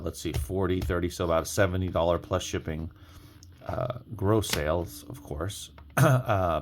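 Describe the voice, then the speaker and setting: A man with a low, deep voice talks through his reselling tips in a casual vlog style. He rambles, often circling back to restate a phrase. A faint layer of noise sits in the recording. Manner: rambling, repeats and rephrases himself. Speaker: online reseller sharing sales tips. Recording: clearly audible background noise.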